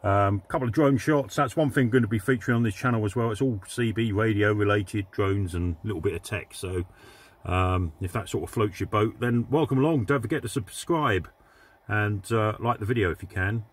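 A man's voice: on the low side, fairly quick, breathy edge. A man with an English accent chatting casually as a CB radio vlogger, recorded inside a parked vehicle. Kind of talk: casual vlog chat and radio operating.

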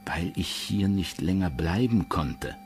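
An actor playing a cornered, guilt-laden doctor in a crime radio play, grave and weary. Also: male voice, sits low, animated, measured pace, soft background music. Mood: grave, confessing.

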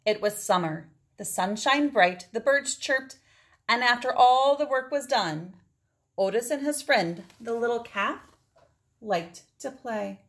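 Female storyteller, 40s, slightly high pitch, deliberate dramatic picture-book read-aloud with asides to young listeners. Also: lively intonation, a bit bright. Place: indoors, small room at home.

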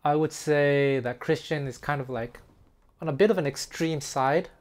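A middle-aged man who grew up speaking Taiwanese, a music teacher talking casually to camera in an earnest, reflective, slightly halting way. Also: on the high side. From French-speaking Canada. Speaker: guitar teacher. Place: small room indoors.

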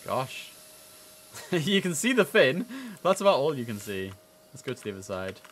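A man's voice: mid-range voice, sing-song, measured pace, a bit bright, breathy edge. A man in his thirties giving casual live game commentary, chatty, switching between asides and formal controller calls. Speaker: streamer playing an air traffic controller. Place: indoor room, live stream.

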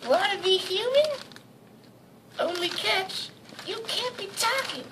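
A woman's voice: extremely high pitch, lively intonation, slow, heavily acted. A woman voicing a sly, sassy sea witch aunt in a puppet show, slangy and teasing. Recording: noisy amateur puppet show recording, clicks.

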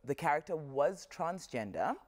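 A young woman actress, a guest on a talk show, with a deep husky voice, speaking in a measured, thoughtful way on a lapel mic. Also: very animated, darkish tone, long reverb tail. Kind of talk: casual studio interview. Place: tv studio set.

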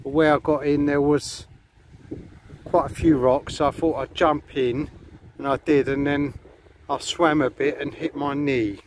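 Guy rambling on a beach walk, slow and a bit high, faint outdoor hiss on the camera mic. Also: fairly even intonation. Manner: rambling, chatty, addresses viewers. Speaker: vlogger. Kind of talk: casual walking vlog. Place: outdoors on a beach and hotel paths. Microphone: handheld camera.

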